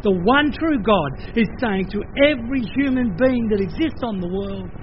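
Older aussie guy giving a sermon, pretty high voice, chatty and playful, bit muffled with faint noise. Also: moderate pace. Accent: Australian. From Australia. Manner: animated, conversational, playful asides. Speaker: pastor.

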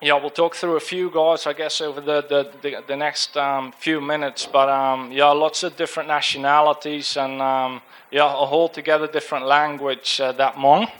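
A man's voice: fairly high pitch, level tone, moderate pace, hardly any pauses, a bit bright. A young man with a Dutch accent giving an informal church talk about a mission trip, hesitant with many fillers and restarts. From Netherlands. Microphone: handheld microphone.

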